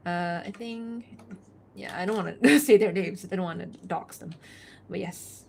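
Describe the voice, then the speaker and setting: A woman's voice: on the low side, moderate pace, creaky, slight background noise. A woman from Malaysia chatting casually with her live-stream audience while she works, laughing often and reading out chat messages. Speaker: art streamer.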